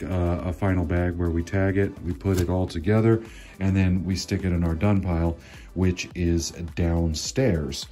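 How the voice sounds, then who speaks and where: A man with a low, mellow voice walks through his garage work in an easygoing, explanatory way, pausing often with fillers. He talks off-camera while handling parts in a workshop. Light background music sits under the voice. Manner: relaxed, explanatory, many fillers. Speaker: car mechanic and channel host. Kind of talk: casual workshop walkthrough.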